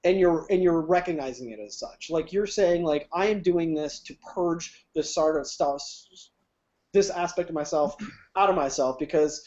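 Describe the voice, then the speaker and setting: A man with a high-pitched voice chats in a rambling way and keeps restarting his sentences. He laughs easily. The podcast audio is dull and lo-fi. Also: normal speed, a little mellow. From Ohio. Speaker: podcast guest. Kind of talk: casual chat.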